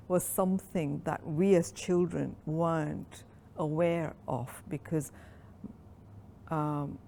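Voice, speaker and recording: A woman in her sixties from Kenya, recalling her life at a relaxed pace in an oral history interview, with frequent pauses and ums. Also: low-pitched, gravelly, slight background noise. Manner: reflective, unhurried storytelling with frequent ums.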